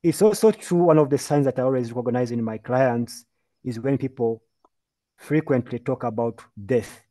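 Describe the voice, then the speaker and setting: A man's voice: medium pitch, moderate pace, mellow. A man from Uganda giving an earnest, emphatic talk as a mental health speaker in a webinar presentation, heard over a video call. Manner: earnest, emphatic, lecturing with lists and repeated phrases.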